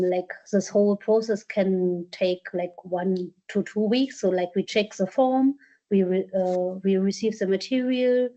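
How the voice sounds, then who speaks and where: A woman's voice: mid-range voice, warm tone. A woman presenter answering audience questions at a workshop, hesitant with many fillers and restarts. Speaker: presenter from a culture collection. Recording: dull lo-fi conference audio.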